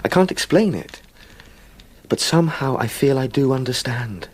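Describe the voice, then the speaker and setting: A man's voice: medium pitch, expressive, normal speed, natural voice. Young English man, acted, radio drama solicitor; mid-range voice, earnest, polite, shaken and convalescent. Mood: earnest, haunted. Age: young adult. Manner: earnest, gentle, formally polite. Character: earnest young solicitor, humbled and haunted, recovering from illness.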